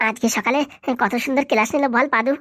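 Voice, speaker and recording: A woman's voice: fairly high pitch, steady tone, fast, fairly bright tone. A woman voicing a young school pupil in a comic cartoon, chattering. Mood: gossipy.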